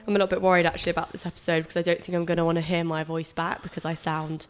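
A woman's voice: fairly low pitch, monotone, very fast, clear, resonant tone, soft background music. Bunged-up woman with a cold. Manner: chatty, teasing, laughs often. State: congested with a cold. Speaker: podcast co-host; personal trainer. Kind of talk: casual chat.

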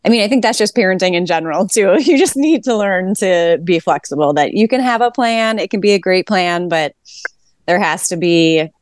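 A woman's voice: fairly low pitch, animated, normal speed, dry recording, studio quality. A woman guesting on a podcast, a teacher and mom, answering easily and laughing often. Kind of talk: casual interview answers.

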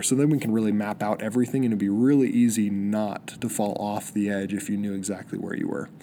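A man's voice: fairly low pitch, mellow, a little hiss or hum. A young man hosting a solo podcast, sniffly with a cold, rambling eagerly with many restarts. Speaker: podcast host. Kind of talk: solo casual podcast monologue.

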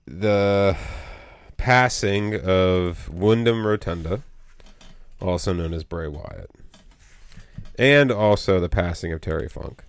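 Brief: deep, slightly nasal male co-host, casual rambling delivery, dry close sound. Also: takes it slowly, dry recording. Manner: rambling, full of you know and um. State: a little nasal from allergies. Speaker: podcast co-host. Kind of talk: casual podcast chat. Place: small home studio.

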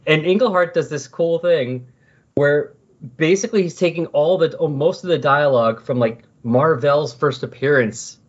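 A man talking casually and loosely on a comics podcast, thinking aloud as he explains, with a slightly husky voice. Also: a little high, normal speed. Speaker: podcast co-host discussing comics. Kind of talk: casual, rambling comics discussion. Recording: dull lo-fi voice recording.

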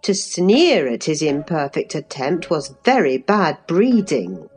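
A woman reading aloud, voicing an earnest older housekeeper who gently scolds in a lower, measured voice. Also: very animated, slightly rough, soft background music, heavily acted. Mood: earnest reproof.

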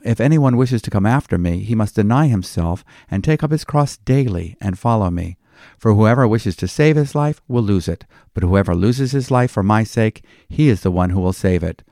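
Low, mellow-voiced male pastor. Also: dry recording, clean studio sound. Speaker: pastor and devotional commentary host. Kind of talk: scripted devotional Bible commentary.

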